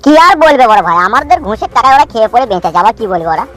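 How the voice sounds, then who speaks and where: A man's voice: very high voice, sing-song, racing, fairly bright tone, faint music behind, exaggerated voice. A man voicing an eager, servile gangster henchman in a comedy cartoon, chatty, deferential and conspiratorial. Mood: eager, scheming. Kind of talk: Bengali comedy cartoon dialogue.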